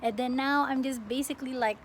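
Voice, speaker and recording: A young woman from the Philippines chatting casually as a plant vlogger, expressive and often breaking off mid-sentence. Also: sits high, fairly quick. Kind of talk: casual get-to-know-me question-and-answer chat. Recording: clearly noisy home recording.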